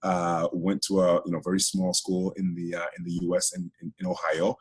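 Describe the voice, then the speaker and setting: A man's voice: deep voice, level tone, fairly quick, breathy edge. A middle-aged man who grew up in Ghana and Greece, talking chattily as a guest with many restarts. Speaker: guest, HR director. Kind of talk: casual interview talk.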